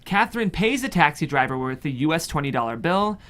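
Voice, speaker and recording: A man's voice: a little high, very animated, a little fast, fairly bright tone. A man reading a screenplay's stage directions as scripted narration. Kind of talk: scripted narration at a table read.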